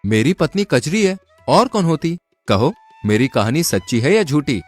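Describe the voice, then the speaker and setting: A man's voice: on the high side, very animated, normal speed, faint music behind. A man voicing a clever young traveler in a Hindi folk tale, polite and confident. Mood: sly, assured.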